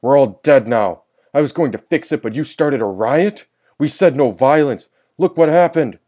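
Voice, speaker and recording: A man voicing an angry, bitter male prisoner in a story, yelling and accusing. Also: fairly low pitch, lively intonation, quick pace, natural voice.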